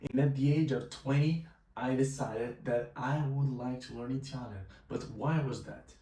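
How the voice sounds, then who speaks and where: Young male language teacher, Brazilian accent, native Portuguese speaker, relaxed vlog storytelling, mid-pitched voice, mic set back. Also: normal speed. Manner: casual, conversational, with filler phrases. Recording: roomy echo, mic at a distance.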